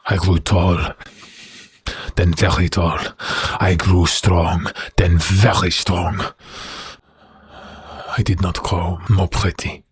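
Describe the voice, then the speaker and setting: An actor playing a huge, brooding, wounded and menacing werewolf prisoner in an audio drama, speaking in halting, deliberate phrases. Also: male voice, low voice, flat delivery, husky, full character voice. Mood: brooding confession. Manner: slow, halting, deliberate delivery.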